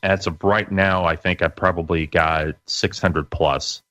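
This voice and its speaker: Deep-voiced man in his late forties. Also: steady tone, unhurried, sharp tone, no echo, highs cut off. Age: late 40s. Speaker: guest, internet radio broadcaster. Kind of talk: casual interview chat.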